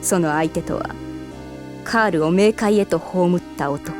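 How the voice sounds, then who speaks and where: An actress playing a devoted, otherworldly woman who narrates an audio drama in a measured, solemn, formal manner. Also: on the low side, natural voice. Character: devoted otherworldly female narrator set on resurrecting a fallen swordsman. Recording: music bed behind the voice.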